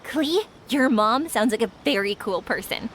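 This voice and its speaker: An actress playing a bubbly, warm-hearted young woman in a video game, friendly, enthusiastic and chatty. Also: fairly high pitch, expressive, normal speed, slight background noise. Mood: warm, excited. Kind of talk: English video game voice acting. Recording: music playing behind the voice.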